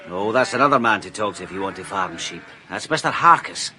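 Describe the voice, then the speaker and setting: A man's voice: lowish voice, expressive, a little fast, noisy background, close to an everyday voice. A man playing a patient Scottish shepherd. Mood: explaining. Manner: patient, explaining. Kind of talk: radio drama acting.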